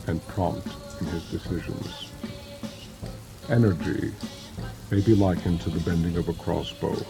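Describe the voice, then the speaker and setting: A man narrates an audiobook in a very low-pitched voice. His delivery is measured, solemn and dramatized, like a reading of ancient teachings. Music plays clearly behind him. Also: poor audio.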